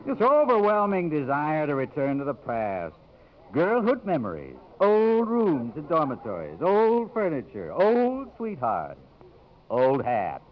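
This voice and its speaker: An actor in a 1950s radio drama, playing a brash, cynical magazine photographer with a wry, needling manner. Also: male voice, fairly high pitch, huge pitch swings, unhurried, slight background noise. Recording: muffled old radio recording, background music.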